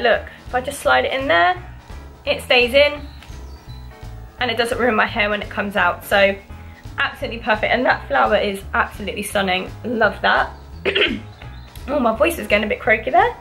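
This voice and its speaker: A woman's voice: a little high, fairly bright tone. A young woman gushing enthusiastically as she unboxes and chats to her viewers. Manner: gushing, enthusiastic, repeats "really, really". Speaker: vlogger. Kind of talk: casual unboxing chat.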